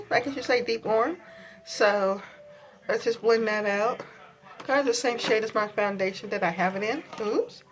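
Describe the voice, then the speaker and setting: A woman's voice: medium pitch, rough recording. A woman with a sore throat and a stuffy voice, chatting casually through a makeup tutorial with many ums and likes. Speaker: beauty vlogger.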